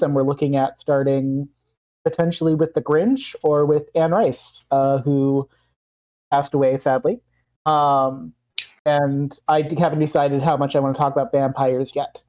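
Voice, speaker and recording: A man's voice: fairly high pitch, a little mellow. A man, a player on the stream, chatting in an upbeat, witty way about his plans. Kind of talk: casual chat.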